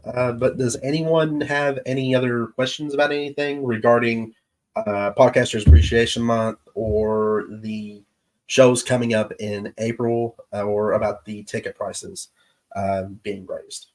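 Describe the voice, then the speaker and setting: A man hosting his show's live stream, chatting casually with viewers in an easygoing, halting way, his voice stuffed up from allergies. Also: medium pitch, level tone, a little mellow, rough recording. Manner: easygoing, halting with many pauses. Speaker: show host. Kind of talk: casual livestream chat with viewers.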